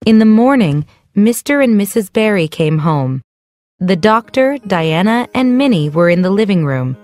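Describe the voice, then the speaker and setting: A woman giving scripted narration for a children's story in a clear storytelling delivery. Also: medium pitch, big pitch swings, normal speed, a little mellow, no room sound.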